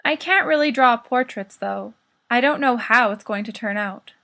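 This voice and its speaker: A woman's voice: fairly high pitch, normal speed, pushed and bright. A woman voicing an earnest, naive teenage boy with a tentative, doubtful manner in an audiobook. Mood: hesitant. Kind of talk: fan fiction audiobook character.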